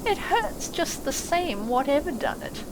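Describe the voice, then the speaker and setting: Storybook casting: small, hard-done-by mole gentleman played by a woman, whining and high, slightly creaky, noisy background. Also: very animated, unhurried, full character voice. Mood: miserable. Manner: plaintive, whining. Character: small male mole, plaintive, hurt and grumbling. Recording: clearly noisy, sound effects behind.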